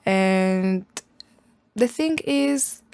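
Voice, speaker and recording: Algerian woman in her twenties, halting. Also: mid-range voice, expressive, vocal fry, darkish tone, clean tone, no room sound. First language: Arabic. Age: young adult. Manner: thoughtful, hesitant, many fillers and restarts. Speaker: guest. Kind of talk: casual interview answers.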